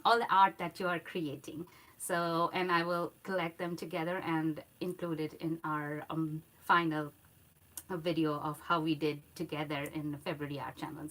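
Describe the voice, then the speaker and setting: A woman hosting a casual art livestream, speaking English as a second language, with a soft voice and a slow, meandering delivery full of fillers and run-on sentences. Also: medium pitch. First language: not English. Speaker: art channel host.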